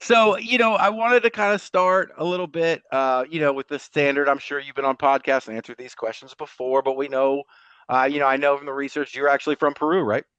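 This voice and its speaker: A man's voice: a little high, steady tone, a little fast, fairly bright tone, clear, resonant tone, no echo, dull audio. A man co-hosting a podcast in a casual, chatty style about sports. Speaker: podcast co-host.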